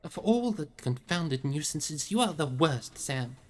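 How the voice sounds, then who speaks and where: A reader voicing a gentle but resolute male hobbit gentleman in a storybook, well-spoken and fond of his servant. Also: mid-range voice, expressive, measured pace, pitched above the performer's own voice, natural voice. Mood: exasperated, resolute.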